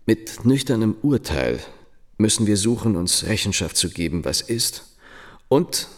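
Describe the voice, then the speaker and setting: An actor playing a grave, indignant monarchist reading an article aloud in a measured, declamatory radio drama style. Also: male voice, lowish voice, sing-song.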